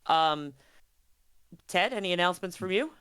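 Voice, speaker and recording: A woman's voice: lowish voice, animated, moderate pace, fairly bright tone, clear, resonant tone, no room sound. A woman running a tabletop roleplaying stream as game master, chatting casually and praising her players in an encouraging way.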